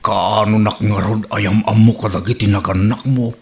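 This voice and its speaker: A man's voice: on the low side, steady tone, normal speed, natural voice. A man playing a gushing, clownish sweetheart with an Ilocano Filipino accent and an exaggerated comic manner. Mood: giddy, delighted. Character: giddy, clownish male sweetheart, gushing with love. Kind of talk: Ilocano radio comedy drama acting.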